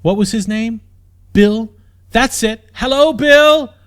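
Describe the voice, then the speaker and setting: A man's voice: very high voice, slow pace, clear, resonant tone, pitched above the performer's own voice. A man voicing a spoiled, headstrong young princess in an audiobook story, with a whiny, haughty, insistent manner. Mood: loud, stubborn.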